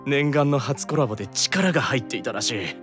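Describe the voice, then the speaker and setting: A man's voice: medium pitch, expressive, a little fast, music in the background, natural voice. Man acting proud, earnest demon, swooping dramatic delivery. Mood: weary, earnest. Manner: stiff, self-serious, dramatic delivery. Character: proud, earnest demon brother, worn out from brutal training. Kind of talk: Japanese anime-style audio drama acting.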